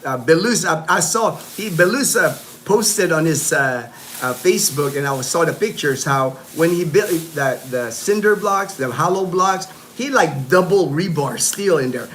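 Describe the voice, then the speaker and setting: A man's voice: a little high, expressive, moderate pace, fairly bright tone, slight background noise. A man hosting a casual livestream from his home, rambling in loose talk full of "you know", "like" and "man". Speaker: livestream host.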